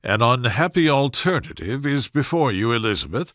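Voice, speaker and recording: Guy doing an unbothered older dad from an old novel, deadpan and wry, slow careful delivery, dry close sound. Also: medium pitch, no echo. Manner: calm, deliberate deadpan. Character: dry, unruffled, sardonic older father, a country gentleman. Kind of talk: storybook character voice in classic novel reading.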